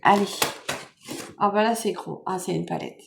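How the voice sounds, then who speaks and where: A French woman with a cold, hoarse and nasal, chatting softly and rambling with many fillers as she unboxes gifts at home. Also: mid-range voice, moderate pace, noisy background. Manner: soft-spoken, meandering with many fillers. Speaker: vlogger. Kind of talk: casual chat unboxing makeup gifts.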